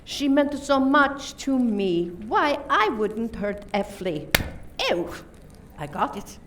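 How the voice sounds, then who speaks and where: Woman playing a gushing, slow-talking Spanish socialite. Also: on the high side, animated. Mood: gushing, coy. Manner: theatrical, gushing, drawn-out emphasis. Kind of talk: comic radio play acting. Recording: distant mic with background noise.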